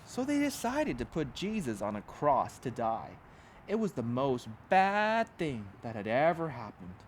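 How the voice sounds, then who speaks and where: A man's voice: mid-range voice, wildly swooping pitch, unhurried, slight background noise. A man reading a children's storybook aloud as a pastor, expressively and dramatically, with simple emphatic phrasing and asides to young listeners.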